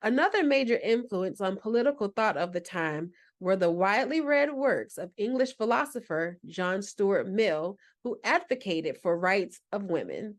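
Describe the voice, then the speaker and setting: A woman's voice: medium pitch, animated, moderate pace, hardly any pauses. Woman reading a scripted minute segment. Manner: reads a prepared script evenly. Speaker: segment presenter.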